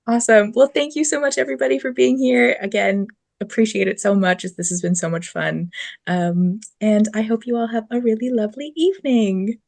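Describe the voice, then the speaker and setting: A woman's voice: fairly high pitch, fairly quick, creaky, a little mellow, dry recording. A woman moderating a panel, enthusiastic and appreciative as she thanks the guests.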